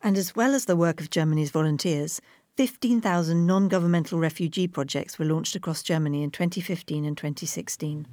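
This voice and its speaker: A woman's voice: low-pitched, brisk, a little mellow, no echo. A woman reporter reading scripted report narration.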